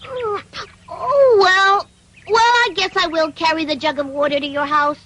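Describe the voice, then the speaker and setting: A woman voicing an earnest, excitable wooden puppet boy in a dubbed cartoon, childlike, exclamatory and innocent. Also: extremely high pitch, unhurried. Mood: polite, needy. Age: child figure.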